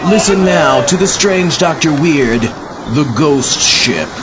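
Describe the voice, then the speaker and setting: A man's voice: a little high, lively intonation, slow, dull audio, a loud crowd, heavy background noise. A man playing a playful spaceman horror host, introducing scripted tales in a theatrical announcing style over busy sound effects. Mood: spooky announcing. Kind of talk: scripted comic horror-show hosting.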